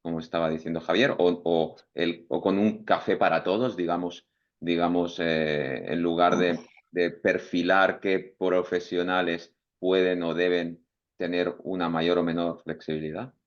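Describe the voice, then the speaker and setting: A man's voice: deep voice, flat delivery, measured pace, highs cut off. A man on a professional panel asking a long, carefully built question. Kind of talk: round-table discussion.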